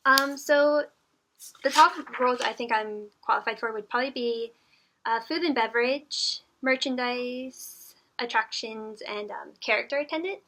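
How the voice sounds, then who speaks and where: A woman's voice: fairly high pitch, measured pace, sharp tone, poor audio. Earnest young woman answering interview questions. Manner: earnest and polite, with frequent fillers. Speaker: job applicant. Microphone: phone.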